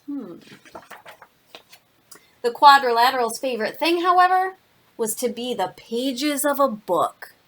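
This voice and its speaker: A woman's voice: high-pitched, expressive, measured pace, pauses often, a bit bright. A woman reading a picture book aloud to children expressively, unhurriedly adding asides and pointing things out along the way.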